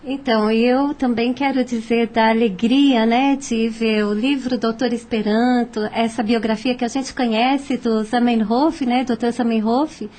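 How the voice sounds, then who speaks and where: A Brazilian woman with a fairly high voice speaks as a guest on a radio panel. Her delivery is enthusiastic and full of feeling. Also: fairly even intonation, measured pace, hardly any pauses, a little mellow. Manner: enthusiastic, emotive.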